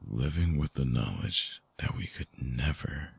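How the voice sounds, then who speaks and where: A very deep-voiced male vampire, acted by a man, speaks slowly and tenderly to a lost lover. The voice is husky and breathy, often sinking toward a whisper, in an intimate, close-up ASMR roleplay. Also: expressive, no echo, heavily acted. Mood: sorrowful. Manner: halting, tender, intensely earnest. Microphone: close binaural microphone.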